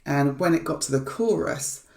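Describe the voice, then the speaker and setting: A woman's voice: low-pitched, lively intonation, normal speed, gravelly, a little mellow, reverberant. A woman, born in Nigeria and raised in England, giving an earnest, thoughtful personal reflection with small restarts, recorded remotely indoors. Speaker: charity fundraising head giving a reflection.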